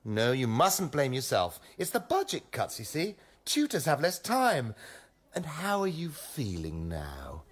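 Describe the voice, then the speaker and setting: Flustered, husky British lecturer. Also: male voice, a little high, wildly swooping pitch, a little slow, heavily acted. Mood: coaxing. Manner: flustered, stammering, coaxing. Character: flustered, placating male university drama lecturer. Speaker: lead actor in a radio play. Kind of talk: comic radio play acting.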